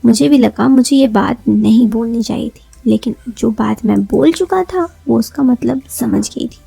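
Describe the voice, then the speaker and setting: A woman's voice: a little high, a little slow, vocal fry, warm tone, slightly rough, dry recording, soft background music. A woman narrating a first-person story in a confiding, conversational way, acting out bits of dialogue.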